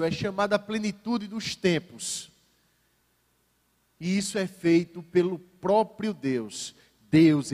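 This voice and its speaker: High-voiced Brazilian preacher in a reverberant hall. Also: male voice, a little mellow. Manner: varies pace for emphasis, ironic asides to the congregation. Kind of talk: sermon.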